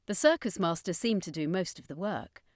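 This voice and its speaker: Low-pitched woman narrating a fantasy story. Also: animated, normal speed, darkish tone, dry recording, clean studio sound.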